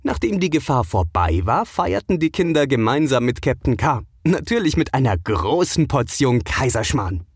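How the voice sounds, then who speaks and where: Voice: medium pitch, very animated, moderate pace, few pauses, slightly rough, dry recording, clean studio sound. A narrator reading a scripted children's audio adventure as a storyteller.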